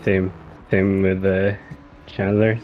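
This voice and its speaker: Deep-voiced man chatting. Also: little pitch movement, takes it slowly, muffled recording, slight background noise. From Vancouver, British Columbia, Canada. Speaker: sim racing driver being interviewed. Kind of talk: casual chat.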